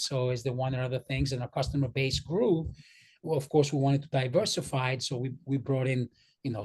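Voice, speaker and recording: A man's voice: mid-range voice, darkish tone. A man with a slight Greek accent, speaking earnestly on a video call about his company's products and often repeating words as he goes. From Greek, born in New York. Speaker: vendor presenting his company. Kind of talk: casual business presentation on a video call.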